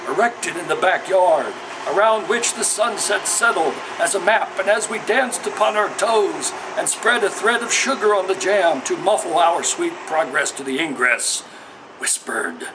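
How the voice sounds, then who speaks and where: A man gives a literary poetry reading in a measured, declamatory manner. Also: on the high side. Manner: measured, declamatory question-and-answer delivery. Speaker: poet reading own work. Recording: noisy ambience behind the voice.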